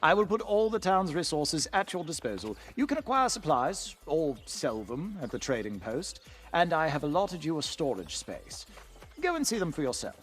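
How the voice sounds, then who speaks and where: Courtly town leader, highly expressive. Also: male voice, music in the background. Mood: helpful, welcoming. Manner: formal, gracious, measured. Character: courtly, welcoming male town leader in fantasy game. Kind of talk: fantasy video game dialogue.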